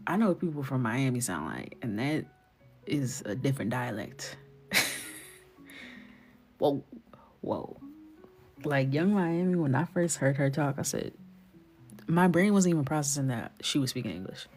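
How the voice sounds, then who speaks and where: A woman's voice: extremely low pitch, lively intonation, unhurried, long pauses, darkish tone, soft background music. A young woman from New York chatting playfully while she colors on a livestream, slipping into whispers. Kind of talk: casual chat while coloring.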